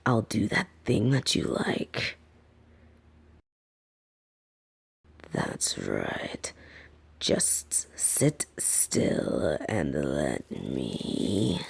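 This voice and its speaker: A woman voicing a soft but dominant lamia captor in an intimate audio roleplay, breathy and often whispering, condescending and possessive. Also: huge pitch swings, full character voice. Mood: soothing, possessive. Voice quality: breathy, often dropping into whispers and creaky low tones. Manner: slow, teasing, patronizing.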